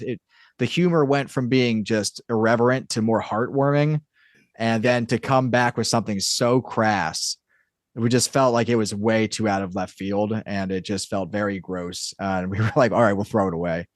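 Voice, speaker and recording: A man with a fairly low voice talks casually as an interview guest. He explains at length, rambling and cutting into his own sentences. The sound is very dry, with no room around it. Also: no room sound. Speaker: podcast guest, audio drama creator and writer.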